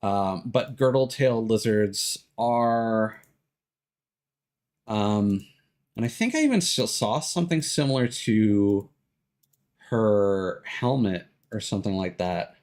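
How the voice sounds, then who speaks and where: A man's voice: fairly low pitch, animated, slow pace, darkish tone. A man doing casual, rambling livestream commentary over a video game, thinking aloud as he goes. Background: music behind the voice.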